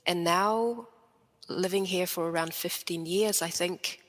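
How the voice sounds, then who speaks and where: Bright-toned, hesitant New woman. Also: lowish voice, a little slow, in a large hall. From New Zealand. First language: Swedish. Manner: thoughtful, hesitant, frequent um fillers. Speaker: storyteller guest. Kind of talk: casual interview chat.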